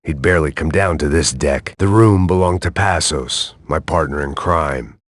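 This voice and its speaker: A man playing a jaded, middle-aged American action hero, narrating a noir video game in a gravelly, sardonic deadpan. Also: rumbling low, moderate pace, no room sound, clean studio sound. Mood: weary, brooding. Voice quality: gravelly, husky. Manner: world-weary, sardonic, deadpan. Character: jaded hard-drinking middle-aged male action hero, cynical and bitter.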